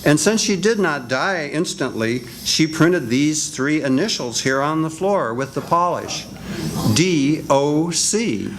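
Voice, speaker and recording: An older man in a senior center radio drama reading, playing a calm, businesslike detective. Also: on the high side, slight background noise, low-quality recording. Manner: measured, businesslike. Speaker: amateur radio actor.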